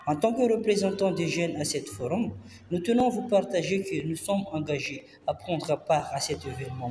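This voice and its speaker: A woman's voice: deep voice, warm tone. A woman from Madagascar, a youth delegate, giving a formal, declamatory recorded video message. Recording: echoey room with faint noise.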